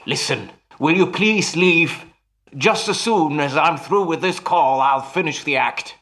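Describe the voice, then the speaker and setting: A man playing a grave, earnest stage telepathist in a radio play, with a deliberate, courteous and dramatic manner. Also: on the high side, sharp tone. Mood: curt.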